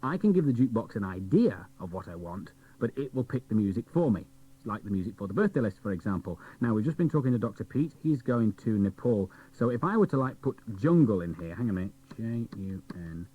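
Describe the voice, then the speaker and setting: A man's voice: on the low side, big pitch swings, brisk, husky. A man presenting a children's radio show, chatting directly to the listeners. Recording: dull old cassette radio recording.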